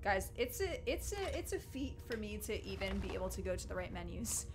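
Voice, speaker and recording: A young woman playing a video game with a headset, jittery and excitable, swinging from loud yelps to hushed muttering. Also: medium pitch, fairly quick. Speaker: gamer. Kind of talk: let's play commentary. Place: small room indoors. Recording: game music loud behind voice. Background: game music.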